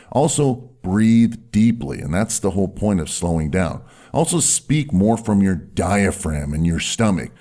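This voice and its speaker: A man, a doctor, teaching viewers in an instructional video, emphatic and slowing down to drop his voice low on key words. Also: darkish tone. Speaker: doctor and health video host. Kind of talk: instructional video talk to camera.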